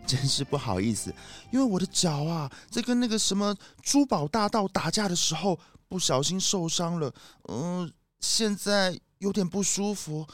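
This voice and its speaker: Woman plays male fox guard, children's audio drama. Polite but slippery, animated, close dry sound. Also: on the low side, no room sound, lightly acted. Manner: ingratiating, hesitant. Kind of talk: children's detective radio play acting.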